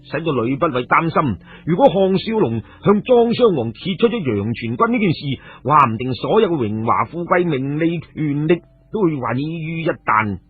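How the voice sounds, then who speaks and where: A man's voice: a little high, very animated, normal speed, hardly any pauses, breathy edge, no echo. A man telling a story in a theatrical, emphatic radio-narration style. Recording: muffled phone-like radio recording, music behind.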